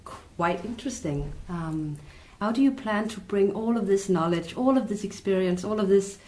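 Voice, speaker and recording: A woman's voice: mid-range voice, normal speed, darkish tone. A woman hosting a business podcast, asking questions in a polite, upbeat way. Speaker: podcast host. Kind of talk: scripted podcast interview.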